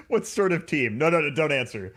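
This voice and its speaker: A man's voice: a little high, sing-song, moderate pace, a bit bright. A man co-hosting morning radio from home, cracking dry quips and reading the weather forecast. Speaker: weather presenter and co-host. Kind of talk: casual morning radio banter. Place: at home, remote.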